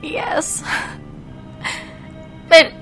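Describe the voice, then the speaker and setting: A woman playing a fragile, traumatised witness in an audio drama, speaking in a halting, pleading way. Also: over music. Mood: tearful, shaken.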